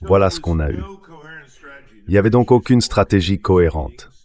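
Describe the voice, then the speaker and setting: This is a synthetic man's voice with a low pitch. It delivers a guest commentator's answers as a translated interview voice-over, reading political analysis. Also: animated, moderate pace, darkish tone.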